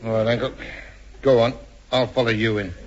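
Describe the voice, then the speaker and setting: An actor playing a hesitant, agreeable, slightly dim companion in a 1950s British radio comedy. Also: male voice, medium pitch, little pitch movement, moderate pace, close to an everyday voice. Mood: wary, agreeable. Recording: muffled old radio, faint hiss.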